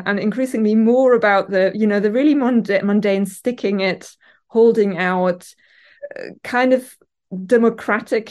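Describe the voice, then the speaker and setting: A woman with a German accent talks through her research in an interview, her voice sitting at an ordinary middle pitch. She thinks aloud, hesitating with frequent ums and self-corrections as she builds each point. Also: darkish tone. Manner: thoughtful, hesitant with many ums and restarts. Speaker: literature academic guest. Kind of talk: conversational interview answers. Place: university office. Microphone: remote call from office.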